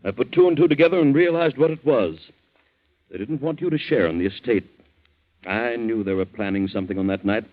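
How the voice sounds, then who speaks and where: An actor playing a calm, vengeful father in a 1940s radio thriller, speaking gravely and deliberately. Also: medium pitch, close to an everyday voice. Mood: grave, explaining. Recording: tinny narrow-band old radio recording.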